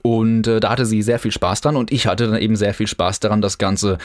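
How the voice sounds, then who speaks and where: A man's voice: on the low side, level tone, brisk, dry recording, clean studio sound. A young man from northern Germany hosting his own podcast, talking loosely with lots of fillers and restarts. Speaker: podcast host. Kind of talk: casual podcast monologue.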